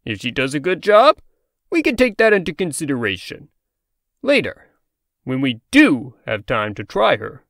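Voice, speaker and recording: A man's voice: a little high, huge pitch swings, normal speed, gravelly, a bit bright, dry recording, studio quality, full character voice. A man voicing a pompous, officious revolutionary pig in a comic dub, with formal phrasing. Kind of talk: amateur comic dub acting.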